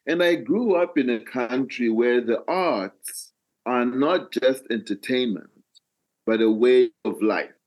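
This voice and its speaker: An older man with a Malawian accent, telling his own story as an interview guest in a deliberate, phrase-by-phrase way. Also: medium pitch, a little mellow, rough recording. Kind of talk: reflective personal storytelling in an interview.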